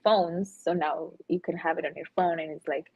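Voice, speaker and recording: A woman's voice: low-pitched, steady tone, normal speed, husky. A woman from Russia who has also lived in Colombia, chatting loosely and enthusiastically as an interview guest on dull, lo-fi audio. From born in Russia, lived in Colombia. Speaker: NFT artist, interview guest. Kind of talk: casual chat.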